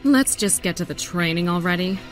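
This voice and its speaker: A woman's voice: on the low side, expressive, a little fast, soft background music, natural voice. A woman voicing a sly animal villainess in a cartoon comic dub, teasing and sassy. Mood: impatient.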